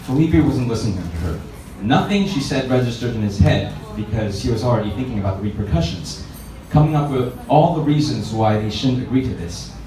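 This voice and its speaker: A man's voice: mid-range voice, expressive, a little fast, hardly any pauses. A man narrating a story aloud expressively, as a book reader. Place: reverberant room at a live reading event. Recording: echoey room, distant mic, faint noise.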